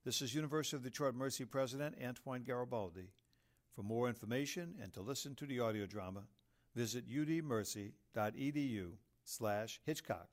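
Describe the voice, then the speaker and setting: A man's voice: mid-range voice, brisk. Male announcer: mid-range voice, formal, careful scripted read for sponsor credits. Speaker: announcer reading sponsor credit, university president.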